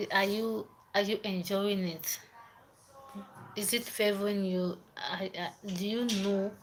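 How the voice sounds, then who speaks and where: A woman's voice: mid-range voice, fairly bright tone, slight background noise, poor audio. A young woman from Nigeria with a Nigerian accent, chatting casually on a live stream, repeating herself and greeting viewers by name. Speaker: livestreamer.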